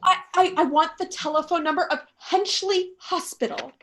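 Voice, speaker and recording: A woman performs a frightened, imperious housewife in a melodramatic radio thriller. Her voice sits extremely high, and she is nervous and self-pitying, insisting on being obeyed. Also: moderate pace, a bit bright. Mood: flustered, impatient. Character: panicky, imperious, self-pitying invalid wife alone at night. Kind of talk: suspense radio play acting.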